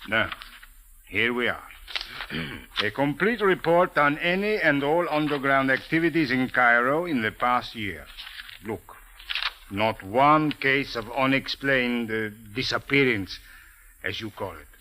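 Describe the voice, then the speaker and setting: An actor playing a suave, skeptical Cairo police captain in a 1940s radio drama, formal, measured and dryly sardonic. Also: male voice, medium pitch, expressive, bright, forceful tone. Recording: noisy old radio recording with clicks.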